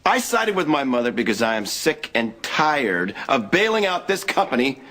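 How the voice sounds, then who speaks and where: Fed-up soap son, bright tense voice, lively pitch. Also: male voice, normal speed. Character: resentful, forceful adult son in a rich family. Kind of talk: soap opera acting.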